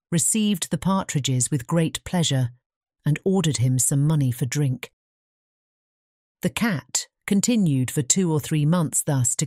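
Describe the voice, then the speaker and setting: A woman's voice: low-pitched, mellow, no room sound, clean studio sound. A woman with a British English accent reading scripted fairy-tale narration.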